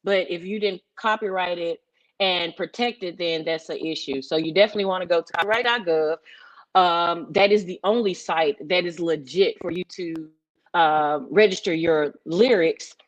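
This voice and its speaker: A woman's voice: fairly low pitch, normal speed, dull audio. A middle-aged woman, explaining things emphatically as a music executive on a workshop panel. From Memphis, Tennessee, United States. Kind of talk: informal workshop presentation.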